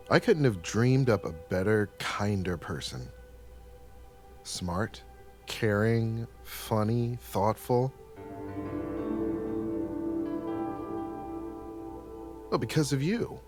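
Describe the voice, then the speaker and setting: A man acting a regretful, lonely character looking back on lost time, speaking haltingly and with sighs. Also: medium pitch, a little mellow. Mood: tender, fond. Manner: halting, sighing, wistful.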